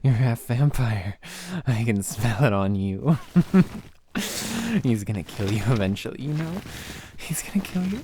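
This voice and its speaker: A man's voice: medium pitch, animated, darkish tone, slight background noise, lightly acted. A man playing a sly, mocking vampire who poses as an awkward hiker in an audio drama. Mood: gloating, menacing. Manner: halting, coy, mocking. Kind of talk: cinematic audio roleplay acting.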